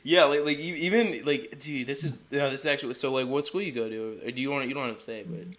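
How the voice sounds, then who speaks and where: A nineteen-year-old man from Delaware interviewing a rapper in a rambling, excitable way, full of "like". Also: mid-range voice, fast. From Delaware, USA. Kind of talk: casual interview chat.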